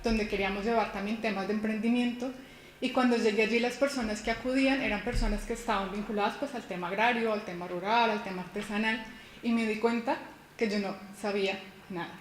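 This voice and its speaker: A woman's voice: mid-range voice, steady tone, fairly quick. A woman from Colombia in her thirties giving a conference keynote, explaining in a personal way with rhetorical questions and a few restarts. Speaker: university lecturer giving a keynote. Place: conference hall. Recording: echoey hall with faint noise.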